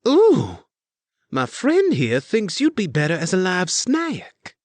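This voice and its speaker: A man's voice: mid-range voice, sing-song, moderate pace, a bit bright, breathy edge, no room sound, voice pushed up. A man voicing a sly teasing young woman. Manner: teasing, mock-gleeful.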